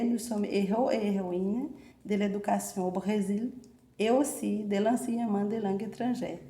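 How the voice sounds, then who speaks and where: A Brazilian woman whose first language is Portuguese, speaking French with a Brazilian accent as she presents an event, measured and hesitant at times. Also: medium pitch, dark tone, echoey room. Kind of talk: formal opening speech and poetry reading.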